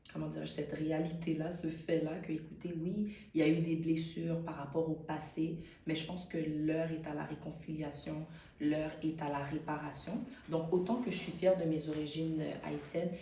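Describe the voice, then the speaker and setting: A woman talks thoughtfully in an interview. Her voice is low and mellow, with frequent hesitations and restarts. The mic sits a little away from her in an echoey room. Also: slight background noise. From Canada. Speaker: actress and interview guest. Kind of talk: conversational interview. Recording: roomy, distant mic.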